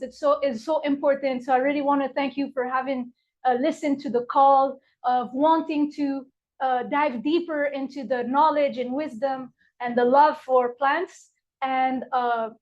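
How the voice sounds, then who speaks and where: A woman from Morocco, an herbalist, giving a heartfelt live online workshop with frequent fillers from her apothecary over a video call. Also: high-pitched. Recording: dull lo-fi video call audio.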